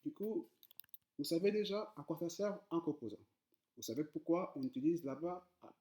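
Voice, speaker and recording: A man's voice: a little high, animated, normal speed, mellow. A man who is not a native French speaker, teaching coding in a casual tutorial, hesitant, with many fillers and repeated words, speaking directly to viewers. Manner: addresses viewers directly, informal.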